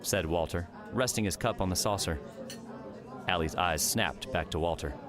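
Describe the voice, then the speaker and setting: A man's voice: deep voice, moderate pace, music in the background. A man reading scripted third-person story narration as an audiobook narrator.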